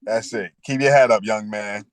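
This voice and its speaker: A man's voice: fairly low pitch, fairly even intonation, moderate pace, dry recording. A man in his thirties speaking candidly as an interview guest, full of street slang and "you know.". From New York. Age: 30s. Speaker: podcast guest.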